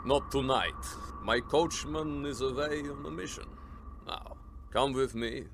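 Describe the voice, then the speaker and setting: An actor playing an imperious, sinister vampire count in a radio drama, commanding yet formally courteous. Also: male voice, medium pitch, unhurried, a little hiss or hum, close to an everyday voice. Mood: controlled, courteous.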